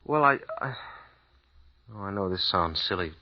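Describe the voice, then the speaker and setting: An actor playing an uneasy American writer, who talks in a halting, hesitant way. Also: male voice, deep voice, lively intonation. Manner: halting, hesitant, repeats words. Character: uneasy American writer husband, adult man. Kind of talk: old-time radio drama acting. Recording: dull lo-fi old radio recording.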